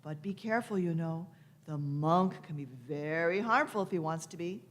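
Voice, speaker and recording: A woman's voice: on the low side, moderate pace, natural voice. A woman playing an inquisitive, self-assured, eccentric time-travelling doctor, musing in old-fashioned phrases in a radio play. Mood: cautioning. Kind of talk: amateur radio play performed live.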